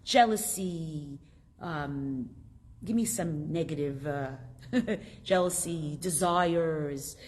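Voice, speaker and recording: A middle-aged woman teaching a relaxed religious lesson to a women's group, talking with the class and pressing her points with emphatic bursts. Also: deep voice, slow. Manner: conversational, drawing answers from the class, emphatic bursts. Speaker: rebbetzin teaching a Tanya class.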